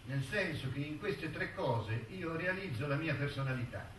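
A proud composed intellectual on trial, bright slightly high voice, terse stage delivery, far from the mic. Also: male voice, lively intonation, moderate pace. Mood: calm defiance. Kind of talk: theatrical stage drama. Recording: echoey, distant stage recording, faint noise.

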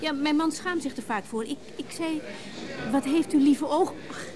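An actress playing a rich collector's spontaneous, flirtatious younger wife in a radio play, gushing and teasing. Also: female voice, high-pitched, moderate pace, crowd noise, lightly acted.

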